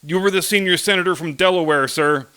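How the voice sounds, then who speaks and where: Man as a deferential, dry presidential aide, bright-voiced. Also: high voice, a little fast, smooth. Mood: matter-of-fact. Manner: dry, patient, explanatory.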